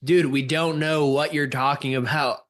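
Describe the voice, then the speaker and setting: A man playing a defensive, nervous young stoner with halting dude-speak in an amateur radio play. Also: on the high side, steady tone, lightly acted.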